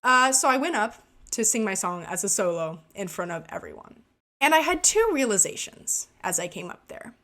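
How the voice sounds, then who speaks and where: A woman's voice: mid-range voice, sing-song, normal speed, sharp tone. A woman vlogger telling an embarrassing story in a casual, self-deprecating way while narrating gameplay. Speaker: gaming vlogger.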